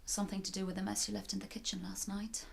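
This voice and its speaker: Creaky, exasperated mother played by an actress. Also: medium pitch, level tone, fast talker, slight background noise. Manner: dry, clipped, exasperated. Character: weary, sceptical mother scolding her teenager.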